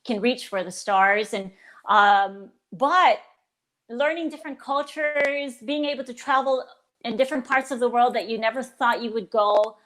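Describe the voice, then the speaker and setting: Warm, eager woman stage performer. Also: a little high, fairly even intonation, moderate pace. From Philippines. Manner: warm and enthusiastic, with frequent restarts. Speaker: guest performer. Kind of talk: casual interview.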